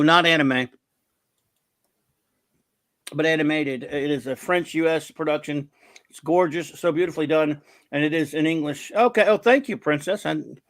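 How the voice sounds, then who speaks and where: A man's voice: on the high side, gravelly, fairly bright tone, no room sound. A man hosting a casual livestream chat over a video call, rambling and hesitant, often poking fun at his own memory. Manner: rambling, hesitant, self-deprecating. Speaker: show host.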